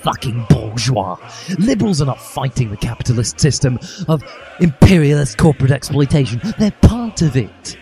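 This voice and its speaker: Indignant teen revolutionary boy read by a man, forceful preachy rants, sarcastic, parody storybook, music behind. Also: mid-range voice, sing-song, normal speed. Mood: angry. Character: angry, self-righteous communist teenage schoolboy.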